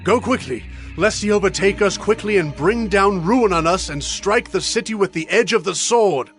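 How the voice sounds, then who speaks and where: Male actor as ancient king, high voice, commanding, declamatory, urgent authority, Bible audio drama, faint music behind. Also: normal speed, lightly acted. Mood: urgent, alarmed.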